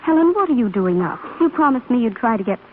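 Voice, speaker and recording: High-pitched protective companion, old radio. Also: female voice, very animated, quick pace. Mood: soothing, worried. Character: protective, solicitous young woman companion. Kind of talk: 1930s radio drama acting. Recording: scratchy, phone-like 1930s radio broadcast recording.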